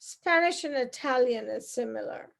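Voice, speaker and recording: A woman's voice: high-pitched, moderate pace, vocal fry. A woman from Guyana chatting casually as an interviewer, in a chatty, complimentary way.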